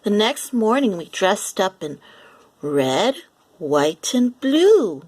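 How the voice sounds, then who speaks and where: A woman reading a picture book aloud for young children, animated and deliberate, acting out the lines as she goes. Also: medium pitch. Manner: animated, deliberate, acts out lines and sound effects. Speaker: children's story reader.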